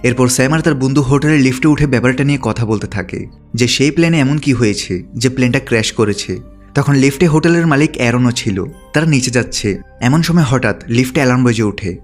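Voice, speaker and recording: A man narrating a film's story from a script, as a recap narrator. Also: mid-range voice. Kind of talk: scripted narration of a film plot.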